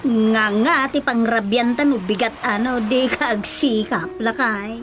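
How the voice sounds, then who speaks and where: An actress with an Ilocano accent playing a skeptical, nagging wife in a radio comedy drama. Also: female voice, a little high, unhurried, natural voice. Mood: nagging, exasperated. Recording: music behind the dialogue.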